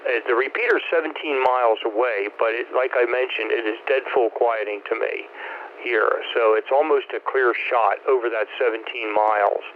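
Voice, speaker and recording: A man's voice: mid-range voice, moderate pace. Older man on noisy narrow-band ham radio. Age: 70s. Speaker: amateur radio net host. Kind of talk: casual ham radio chat. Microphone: two-way radio.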